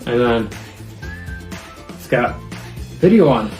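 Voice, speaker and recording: Very low-voiced woman demonstrating a product. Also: animated, slow pace, a little mellow, soft background music. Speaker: product reviewer.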